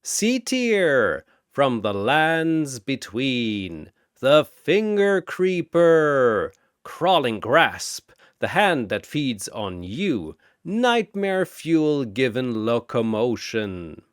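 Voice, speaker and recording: A man voicing a bombastic cosmic arena announcer for a fantasy battle story, with theatrical, drawn-out delivery. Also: medium pitch, big pitch swings, clear, resonant tone, dry recording, studio quality. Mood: bombastic, showy.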